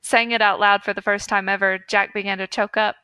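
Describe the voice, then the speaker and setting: A woman's voice: medium pitch, flat delivery, fairly quick, sharp tone, clear, resonant tone, no echo. A woman podcast host reading fan fiction aloud in a mock-earnest storytelling manner.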